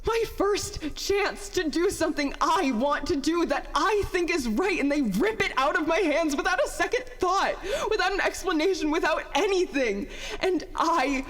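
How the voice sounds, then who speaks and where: A woman playing a bitter, worn-out young inventor in a confessional audio drama monologue, halting, self-deprecating and emotional. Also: high-pitched, a bit bright. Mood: tearful, anguished outburst. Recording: long hall-like reverb on voice.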